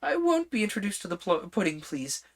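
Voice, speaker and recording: A man's voice: high-pitched, very animated, fairly quick, voice pushed up, full character voice. Man doing a polite, anxious young girl. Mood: hasty. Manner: earnest, hesitant, polite.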